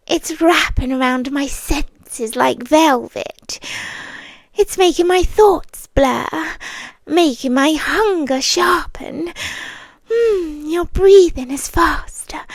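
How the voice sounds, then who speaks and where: Slow, high-voiced British vampire girlfriend, played by a woman. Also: dry recording, clean studio sound, heavily acted. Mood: enraptured, tender. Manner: breathy, drawn-out, often dropping to a whisper. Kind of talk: intimate ASMR roleplay. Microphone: close ASMR microphone.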